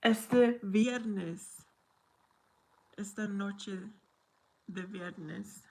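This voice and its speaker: A woman chats easily with her livestream viewers. She talks slowly and unhurriedly, at a mid pitch, and drops Spanish phrases into her English. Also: gravelly, rough recording. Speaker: livestream host. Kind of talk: casual livestream chat with viewers.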